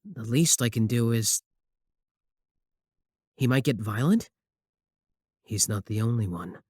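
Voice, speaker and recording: A man's voice: mid-range voice, animated, slow, darkish tone, no echo, clean studio sound. A young man acting a possessive, obsessive student admirer in a scripted ASMR roleplay, sweet yet menacing. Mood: tender, concerned.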